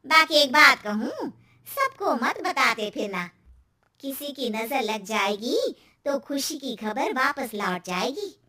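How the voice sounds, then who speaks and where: A woman's voice: high-pitched, animated, takes it slowly, pushed and bright, heavily acted. A woman voicing a nosy, superstitious middle-aged neighbour with an emphatic, warning manner in a cartoon moral story.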